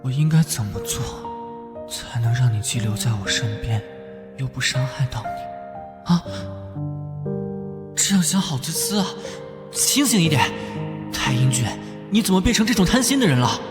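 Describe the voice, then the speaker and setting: An actor playing a warm-hearted, easily flustered young male detective in an animated drama, fretting and scolding himself. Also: mid-range voice, moderate pace, pauses often, over loud music, natural voice. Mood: guilty, fretting. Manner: expressive, fretful, self-scolding. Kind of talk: animated drama dialogue and inner monologue.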